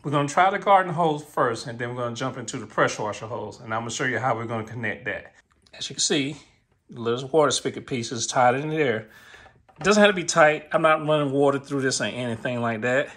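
A man's voice: medium pitch, quick pace, a bit bright. Echoey American man doing casual DIY narration. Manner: informal, thinking aloud as he works. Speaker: DIY tutorial host. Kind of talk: casual how-to narration while working. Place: garage workshop, indoors. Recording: echoey garage with noise and clicks.

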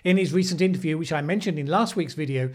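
A man in his fifties presents opinionated news commentary into a close desk microphone. His voice sits a little high, and his manner is sardonic. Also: fast talker. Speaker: host of a weekly news commentary show. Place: small indoor room, plain backdrop. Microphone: microphone on a desk boom arm.

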